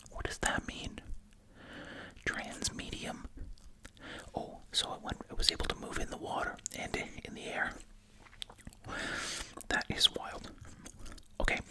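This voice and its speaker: Whispering ASMR host, breathy and unvoiced. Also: measured pace. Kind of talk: whispered ASMR, reading reports with casual asides.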